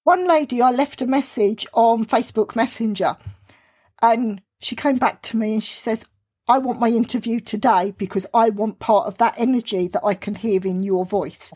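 A woman's voice: fairly high pitch, a little mellow, husky. A woman with a Southern English accent, chatting enthusiastically as a podcast guest. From southern England. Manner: effusive, quick to enthuse. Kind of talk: casual podcast chat.

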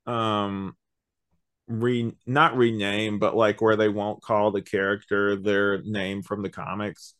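A man's voice: fairly low pitch, flat delivery, takes it slowly, a bit bright, no room sound. A man hosting a podcast, chatting casually about a review and rambling as he thinks aloud. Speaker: podcast host.

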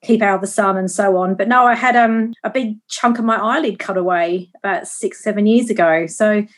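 A woman from Perth with an Australian accent, a guest in a casual conversation, chatty and quick to agree. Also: mid-range voice. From Perth, Western Australia.

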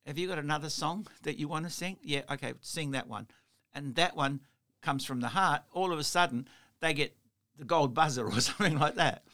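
Middle-aged Australian-accented host, slightly high voice, talkative and anecdotal. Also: male voice, fairly quick, sharp tone. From Italy. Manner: chatty storyteller, laughs often, lots of "you know". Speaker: podcast host. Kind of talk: casual interview chat.

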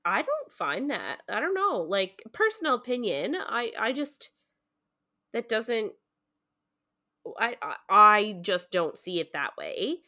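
A woman's voice: medium pitch, big pitch swings, takes it slowly, bright, forceful tone. A woman co-hosting a podcast in a casual book discussion, speaking in an earnest, informal way and hedging her opinions as she goes.

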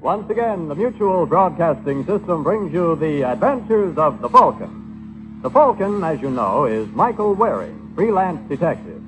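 Animated male radio announcer over music. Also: on the high side, moderate pace, rough recording. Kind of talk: 1940s radio announcing, dramatic scripted narration.

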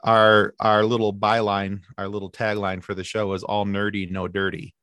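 A man guesting on a podcast, telling stories in a lively chatty way with quick asides and restarts. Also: fairly low pitch, clear, resonant tone. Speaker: podcast guest, sci-fi podcast co-host. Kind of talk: casual interview chat.

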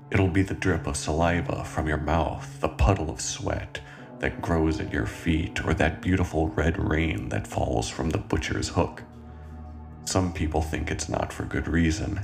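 A man playing a brooding, unsettled cannibal confessing his past in a slow, deliberate horror monologue. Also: extremely low pitch, creaky, exaggerated voice. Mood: brooding, menacing. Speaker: horror anthology writer and narrator. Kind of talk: first-person horror monologue, dramatic reading.

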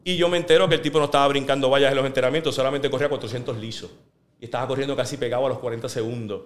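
A man with a Puerto Rican Spanish accent, a sports journalist telling stories in an interview and acting out the quotes. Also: medium pitch. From Puerto Rico. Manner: animated, fast storyteller who acts out quotes. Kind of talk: interview storytelling.

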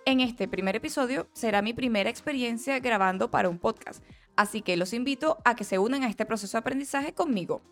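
A young woman with a somewhat high voice hosts a student podcast. She reads through her material in a careful, earnest manner, walking listeners through each point like a first-time presenter. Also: fairly quick, fairly bright tone, soft background music. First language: not Catalan. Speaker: nursing student hosting a podcast. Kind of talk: read-aloud educational podcast.

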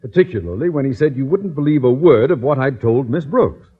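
A man's voice: medium pitch, expressive, fairly quick, breathy edge. An actor playing a pompous, blustering middle-aged man posing as a husband, declaiming theatrically in a radio sitcom. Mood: earnest. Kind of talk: 1950s radio sitcom acting. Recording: dull old radio recording, audience behind.

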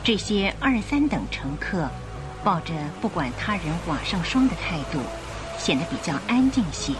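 A woman's voice: medium pitch, sing-song, normal speed, over music. A woman narrating a scripted radio drama in a theatrical storytelling manner.

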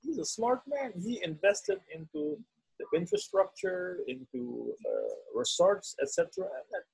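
A man's voice: high-pitched, huge pitch swings, measured pace, gravelly, a little mellow, breathy edge, poor audio. A man co-hosting a casual podcast, joking and laughing at his own jokes. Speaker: podcast co-host.

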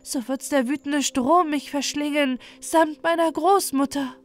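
A woman voicing a gentle little moor princess in a storybook, speaking softly and pleadingly in a high voice. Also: unhurried. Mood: sad. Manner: soft, pleading.

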